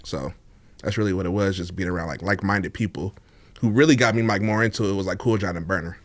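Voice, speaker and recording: Laid-back Bay man, low voice. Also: fast, no echo. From Oakland, California. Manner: laid-back, laughs often, many "like" fillers. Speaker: podcast guest. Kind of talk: casual interview chat.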